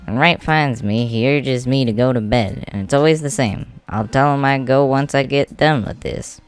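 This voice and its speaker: A man's voice: medium pitch, moderate pace, smooth, lightly acted. A man playing a stubborn, polite, workaholic clan leader in an amateur comic dub, talking in an easygoing, emphatic way. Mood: chatty. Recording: music behind the voice.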